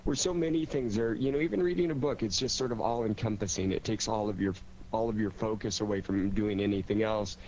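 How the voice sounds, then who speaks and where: A man with a fairly low voice talks in a casual, conversational panel style. He sounds keen on his subject as a writer and director of radio theater. Faint steady noise sits behind his voice. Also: brisk, dull audio. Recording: clear steady background noise.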